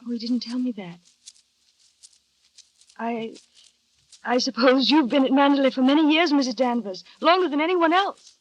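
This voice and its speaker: An actress playing a timid young new wife who narrates and speaks hesitantly, with small stammers, in a 1930s radio drama. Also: female voice, high-pitched, moderate pace, long pauses. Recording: dull 1930s radio broadcast with music.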